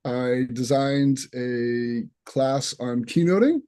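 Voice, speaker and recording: A man's voice: mid-range voice, slow pace, mellow, clear, resonant tone. A man with a Boston accent, introducing himself as an instructor on a video call and telling his story in an earnest way. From northeastern United States. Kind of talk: online open house self-introduction.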